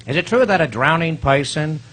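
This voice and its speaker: An actor playing a wisecracking, leering comedian who hosts a radio quiz, with sly, teasing banter. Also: male voice, fairly high pitch, animated, fairly quick, a bit bright, a little hiss or hum. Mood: wry, teasing. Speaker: quiz show host. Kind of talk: live re-creation of old radio comedy quiz.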